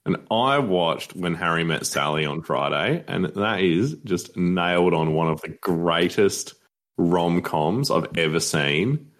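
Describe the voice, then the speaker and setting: A low-voiced man with an Australian accent, talking football on a podcast. He is enthusiastic and likes to tease his co-host in easy, casual chat. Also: animated, takes it slowly, fairly bright tone. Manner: enthusiastic, teasing.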